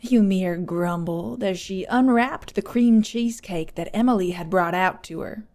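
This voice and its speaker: A woman's voice: mid-range voice, unhurried, darkish tone, natural voice. A woman reading scripted audiobook narration as the storyteller.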